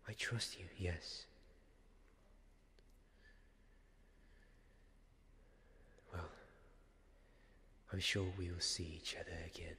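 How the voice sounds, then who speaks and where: A young man playing a devoted, weary knight in a soft-spoken ASMR roleplay, halting and often slipping into a whisper. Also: deep voice, steady tone, a little hiss or hum. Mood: tender farewell. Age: young adult. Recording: long cavernous reverb, faint background ambience.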